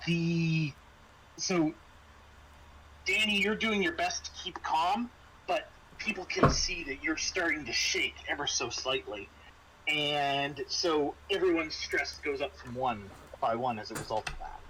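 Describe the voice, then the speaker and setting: A man's voice: on the high side, lively intonation, a little slow, very noisy. A man narrating a tabletop horror game as game master, in a conversational, descriptive storytelling way.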